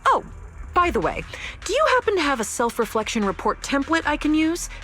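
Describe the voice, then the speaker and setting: A woman's voice: high voice, sing-song, a little fast, sharp tone, exaggerated voice. An actress playing a playful, rebellious young woman researcher in a video game, teasing, breezy and sure of herself. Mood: playfully grumbling. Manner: teasing, breezy, self-assured. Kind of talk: scripted video game story dialogue. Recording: music behind the voice.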